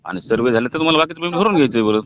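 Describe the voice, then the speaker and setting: A man's voice: on the high side, lively intonation, very fast. A man talking informally on a phone call as a company agent, insistent and brusque. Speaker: company agent handling solar pump deliveries and payments. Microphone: telephone. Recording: dull lo-fi phone call, faint noise.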